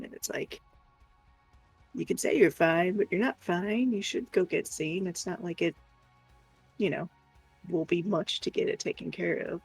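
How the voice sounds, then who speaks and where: A woman's voice: lowish voice, vocal fry, slightly rough, rough recording. A woman co-hosting a casual chat, reading out messages and commenting in a frank, matter-of-fact way.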